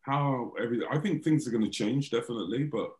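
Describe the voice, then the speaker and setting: A man's voice: medium pitch, quick pace, darkish tone. A man, a DJ and guest in a casual video-call chat, who speaks hesitantly and laughs easily.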